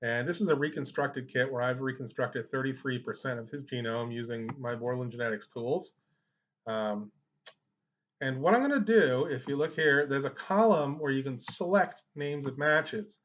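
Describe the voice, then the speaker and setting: A man's voice: medium pitch, moderate pace, fairly bright tone. A man presenting an informal screen-share tutorial, explaining things in a conversational way. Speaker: genealogy tutorial host. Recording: dull lo-fi microphone sound.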